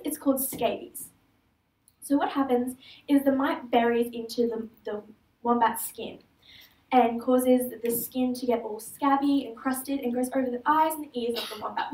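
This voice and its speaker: A fifteen-year-old Australian girl giving an earnest prepared stage talk, with small slips and restarts, on a large auditorium stage. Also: high voice. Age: teenager. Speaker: student speaker. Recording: echoey hall, heard from far off.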